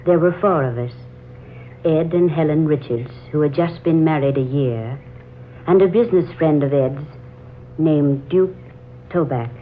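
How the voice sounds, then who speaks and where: Earnest young woman, 1940s radio drama, lowish voice, thin noisy recording. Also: measured pace, narrow, tinny audio. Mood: solemn, haunted. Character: Laura Cabot, earnest young woman telling an uncanny tale.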